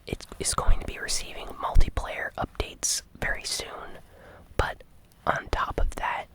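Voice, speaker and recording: Whispering ASMR gaming news host. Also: low-quality recording. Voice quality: breathy unvoiced whisper.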